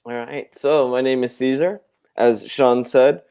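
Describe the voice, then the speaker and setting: Co-host, man; clear, resonant delivery, unhurried. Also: mid-range voice, steady tone, dark tone. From Puerto Rico. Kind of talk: casual live-stream chat.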